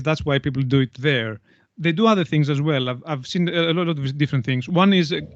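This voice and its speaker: A man's voice: mid-range voice, no room sound, dull audio. A man explaining things conversationally as an interview guest, in hesitant, stop-start phrases with repeated words. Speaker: podcast guest, anthropologist.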